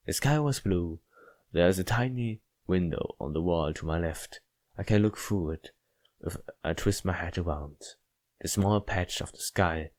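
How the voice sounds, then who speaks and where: A withdrawn, brooding young loner narrating a visual novel, read by a man. His voice sits low, with a reflective, reluctant, deadpan delivery. The recording is dry and close. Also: no echo, close to an everyday voice.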